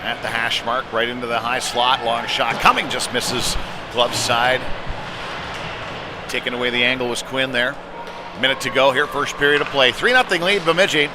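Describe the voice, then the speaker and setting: A man's voice: mid-range voice, normal speed. Male hockey play-by-play man over arena noise. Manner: conversational, rising to excited calls on big plays. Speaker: former goaltender. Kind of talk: live ice hockey play-by-play commentary. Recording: clear arena noise behind the voice.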